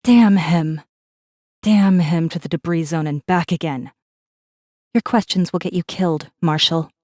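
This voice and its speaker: A woman's voice: lowish voice, fairly even intonation, measured pace, darkish tone, no room sound, studio quality, close to an everyday voice. A woman voicing a wry, guarded rebel messenger who narrates a space fantasy audiobook in a controlled, intimate way. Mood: wry, irritated, then guarded, low. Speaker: audiobook narrator in first person. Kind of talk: scripted dramatic narration.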